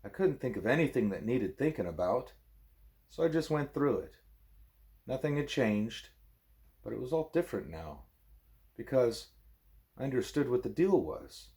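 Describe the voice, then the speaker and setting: A man, a parent, reading a book aloud expressively as a bedtime story, with a wry, deadpan manner. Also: medium pitch, normal speed, pauses often.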